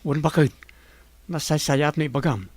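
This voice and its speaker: A man's voice: a little high, normal speed, a little mellow, no echo, natural voice. A man playing a caring, gossipy married villager in an Ilocano radio comedy drama. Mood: concerned, gossipy.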